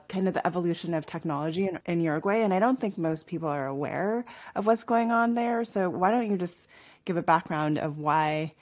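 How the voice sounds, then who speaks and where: Low-pitched woman hosting a podcast. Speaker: podcast host. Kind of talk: conversational podcast interview.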